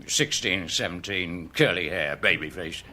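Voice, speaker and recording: Shifty British lodging-house keeper, sharp voice. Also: male voice, low-pitched, expressive, measured pace, exaggerated voice. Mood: grudging, gossipy. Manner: colloquial, grudging, defensive answers. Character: shifty, grudging male lodging-house keeper, evasive and frightened. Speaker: actor in a radio drama. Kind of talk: British radio detective drama acting.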